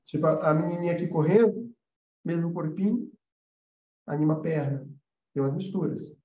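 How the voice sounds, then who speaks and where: A Brazilian man teaches in an informal, explanatory way, with a high-pitched yet soft-edged, mellow voice. He stresses his points by repeating them. The recording has a noticeable room echo. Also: normal speed. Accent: Brazilian Portuguese. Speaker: animation teacher and studio animator. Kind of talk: informal live-stream lesson. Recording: roomy echo, slightly dull sound.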